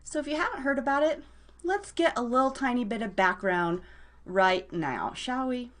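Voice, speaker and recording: A woman's voice: on the high side, lively intonation, normal speed, fairly bright tone. An American woman hosting a casual live show in a bubbly, chatty way with playful wording. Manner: bubbly, playful word coinages. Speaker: radio show host.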